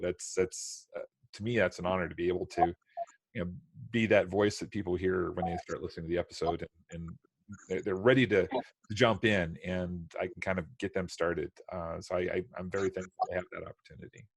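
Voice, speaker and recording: A man with a deep voice talks casually as part of a voice-actor panel. He is hesitant and repeats words, and the sound is dry and close. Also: fairly even intonation, brisk, no room sound. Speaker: volunteer audio drama voice actor. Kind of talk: casual panel chat on a live stream.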